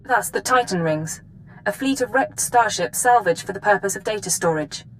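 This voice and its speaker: An actress playing a brisk, authoritative female intelligence chief briefing an agent in a video game. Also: medium pitch, animated, fairly bright tone, a little hiss or hum, natural voice, low-quality recording. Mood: grave briefing. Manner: crisp, authoritative.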